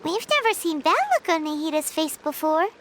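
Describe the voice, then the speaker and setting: A woman voicing a tiny, childlike, well-meaning fairy companion in a video game, with a squeaky voice and chatty manner. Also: big pitch swings, moderate pace. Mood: concerned. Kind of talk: video game character dialogue. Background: music.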